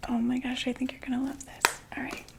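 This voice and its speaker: A chatty undead vampire saleswoman, played by a young woman, breathes her pitch in a close, hushed whisper. Her voice sits a little high, and she keeps an easygoing sales patter full of little fillers. The whole thing is an ASMR roleplay. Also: completely flat delivery, fairly quick, creaky. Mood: soothing.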